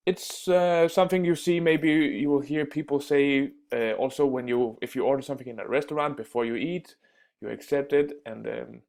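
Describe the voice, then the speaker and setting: Halting man with a gravelly voice. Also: medium pitch, a little mellow. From Denmark. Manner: halting, many fillers and restarts. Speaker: language teacher. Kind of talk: casual spoken lesson.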